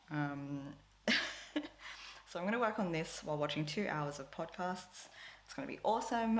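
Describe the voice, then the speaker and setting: A woman, a Tagalog speaker, chatting casually to camera as a crafting vlogger, rambling with lots of fillers and frequent laughs. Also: sits low. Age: 20s.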